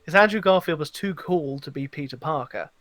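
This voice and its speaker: A man as a podcast guest, bantering casually in a halting, rambling way. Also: on the high side, a bit bright.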